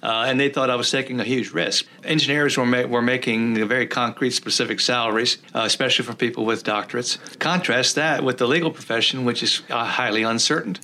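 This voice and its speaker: An older man from rural Texas whose first language was Czech, a law professor recalling his career in an interview, pausing often with "uh" and restarting phrases. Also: medium pitch, steady tone, pushed and bright. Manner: halting, many "uh" fillers and restarts.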